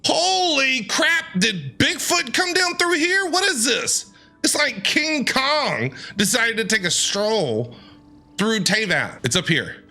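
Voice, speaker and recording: A male streamer reacting to a game in a high, bright voice. He is playful and theatrical, talking back to the characters with big swings in pitch, while music plays faintly behind him. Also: big pitch swings, slow. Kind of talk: gameplay reaction commentary.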